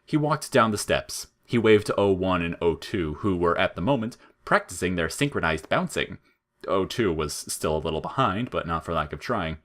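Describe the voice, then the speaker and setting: A man with a low voice reads a scripted story as its narrator. The delivery is that of audio fiction storytelling. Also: fairly bright tone.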